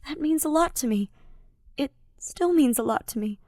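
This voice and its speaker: A woman voicing an earnest, tender young male knight in a fan fiction audiobook, speaking softly and hesitantly from the heart. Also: high-pitched, expressive, normal speed, no room sound, studio quality, pitched above the performer's own voice. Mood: tender, grateful.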